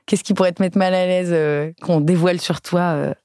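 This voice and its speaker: A woman's voice: lowish voice, fairly even intonation, normal speed, mellow, no room sound, clean studio sound. A woman hosting a podcast, chatting and asking friendly, encouraging questions. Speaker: podcast host. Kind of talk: friendly interview.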